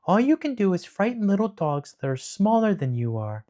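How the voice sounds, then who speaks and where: Man doing a brave little girl, very high, lively pitch, earnest, storybook. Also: normal speed. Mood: scolding. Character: young girl, brave and practical.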